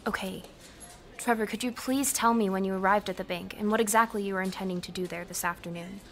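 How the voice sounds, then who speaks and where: A composed female investigator played by an actress in an audio drama, asking measured, procedural questions. The voice is mid-pitched, over faint background noise. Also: fairly even intonation, natural voice. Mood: probing, careful. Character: composed female police interviewer, formal, patient, procedural.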